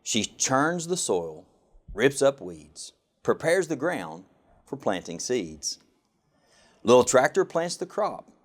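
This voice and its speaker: A man reading a children's picture book aloud with an expressive, sing-song rhyming delivery. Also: mid-range voice, takes it slowly. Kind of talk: storybook read-aloud.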